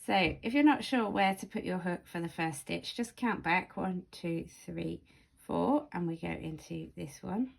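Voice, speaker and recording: A woman with a slightly croaky voice giving casual, step-by-step craft instruction as a tutorial host, speaking carefully and clearly into a built-in microphone. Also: on the low side, measured pace, few pauses. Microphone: camera or phone's built-in microphone, no external mic.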